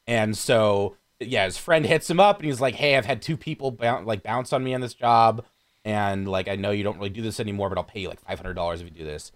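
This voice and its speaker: A man's voice: fairly low pitch, brisk. A man chatting casually and enthusiastically about films as a podcast co-host, with slangy, profane phrasing.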